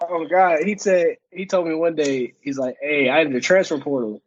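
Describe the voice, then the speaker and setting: A man's voice: a little high, fairly even intonation, normal speed, no room sound, highs cut off. A young man co-hosting a casual podcast, joking and laughing in loose slangy banter. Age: young adult. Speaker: podcast co-host. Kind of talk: casual chat.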